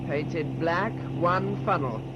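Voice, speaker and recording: A keen British military pilot in a radio play. His voice is high for a man, clipped and decisive. It is muffled under a very loud aircraft engine. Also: takes it slowly, heavy background noise. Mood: alert, decisive. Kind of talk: radio drama acting.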